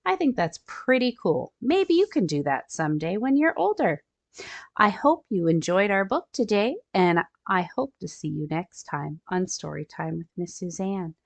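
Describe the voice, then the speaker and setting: Animated woman reading to children. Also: lowish voice, moderate pace, vocal fry, dull audio. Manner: expressive, sing-song, chatting to young listeners. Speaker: children's storytime host. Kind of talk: animated picture-book read-aloud for young children.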